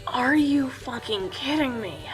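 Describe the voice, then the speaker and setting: Woman as exasperated, sarcastic archer guy in a comic adventure tale, high and animated. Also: takes it slowly, soft background music. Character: grumpy male archer sidekick, sarcastic and exasperated.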